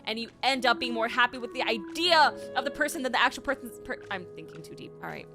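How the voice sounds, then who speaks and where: A woman streamer giving casual, expressive reaction commentary, thinking aloud in informal speech as she plays. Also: a little high, quick pace. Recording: game music clearly behind voice. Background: video game music and dialogue.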